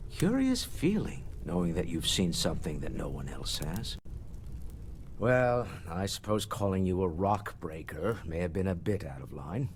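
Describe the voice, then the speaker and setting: Casting: grumpy older CEO for a space game, formal and wry, low pitch with lively swings. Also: male voice, measured pace, slight background noise. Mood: gruff, conciliatory. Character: gruff, grumpy older male businessman, proud but fair. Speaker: company CEO and expedition member. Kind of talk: video game character dialogue.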